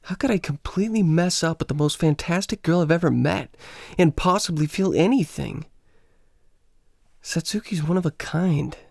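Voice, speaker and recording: A man's voice: fairly high pitch, moderate pace, vocal fry, darkish tone, no echo, clean studio sound. An actor playing a lovelorn, self-mocking American man on an island vacation in a radio play, with an American accent. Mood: dejected.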